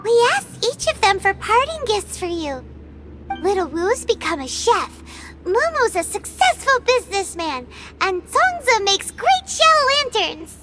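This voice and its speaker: A woman voicing a tiny, excitable female companion creature in a video game, with a high, squeaky voice and a blunt, teasing manner. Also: exaggerated voice. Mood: chatty. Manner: chatty, quick to tease. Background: game music.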